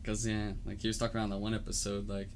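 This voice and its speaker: A man's voice: lowish voice, completely flat delivery, very fast, crowd noise. A young man co-hosting a casual podcast, talking loosely with lots of fillers and swearing. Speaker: podcast co-host. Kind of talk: casual chat.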